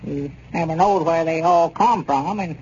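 A man playing a folksy, excitable country storekeeper with a rural Southern accent in a 1930s radio comedy serial. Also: fairly high pitch, normal speed, natural voice. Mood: exasperated. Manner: rustic, drawling folksy talk. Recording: dull, noisy old 1930s radio recording.

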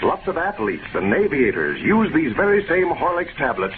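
A scratchy, narrow-band 1930s radio broadcast with heavy noise. A male announcer reads scripted copy in a fairly high voice with very lively, swinging intonation. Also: moderate pace.

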